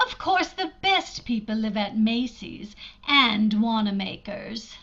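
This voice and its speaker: Casting: a woman to play an imperious, condescending radio-drama matron, high-pitched and very measured. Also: animated, fairly bright tone, dull audio, heavily acted. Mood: genteel, gushing. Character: haughty, snobbish society matron of a secret colony. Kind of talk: old-time radio drama acting.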